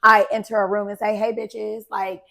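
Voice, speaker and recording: A young adult woman with a raspy voice, co-hosting a podcast in casual, blunt chat. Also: medium pitch, flat delivery, normal speed, bright, forceful tone. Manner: blunt, laughing, unapologetic. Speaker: podcast co-host.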